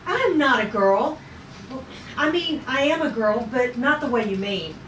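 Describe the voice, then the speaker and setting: An earnest, feisty young woman navigator from a sci-fi script, acted out by a woman. Her voice sits fairly high, heard in an echoey room with clear noise around it. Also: expressive, measured pace, a bit bright. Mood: indignant. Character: earnest, feisty twenty-four-year-old female navigator in sci-fi. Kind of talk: table read acting. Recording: noisy echoey room, distant mic.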